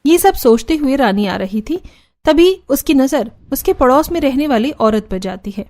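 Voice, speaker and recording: A woman's voice: high voice, animated, normal speed, mellow. A woman reading scripted storybook narration for a cartoon.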